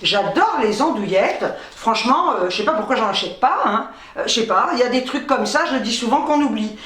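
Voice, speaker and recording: A woman vlogger from North Africa with a high-pitched voice, chatting casually. She rambles in a playful, self-mocking way with salty language. The recording is echoey, with the microphone a bit away from her. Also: lively intonation, fairly quick, fairly bright tone. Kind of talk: casual chatty grocery haul. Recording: roomy, distant microphone.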